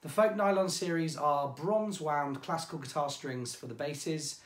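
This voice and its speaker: An English man presenting ukulele strings in a chatty, informal way, his voice going hoarse. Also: on the high side, reverberant. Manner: chatty, informal, self-deprecating. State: losing his voice. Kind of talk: product demonstration.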